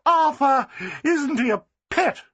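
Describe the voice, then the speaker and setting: Shrill gushing society wife, as a man puts her on. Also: takes it slowly, sharp tone, no room sound, lo-fi sound, heavily acted.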